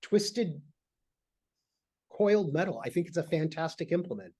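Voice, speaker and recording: Male guest from Michigan, fairly high voice, halting, filler-heavy casual chat. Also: lively intonation, a little mellow, slightly rough. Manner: rambling, halting with many fillers.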